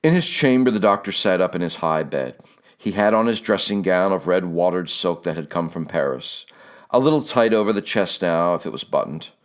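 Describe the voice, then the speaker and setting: A man's voice: low voice, expressive, moderate pace. A man reading a literary audiobook as scripted narration.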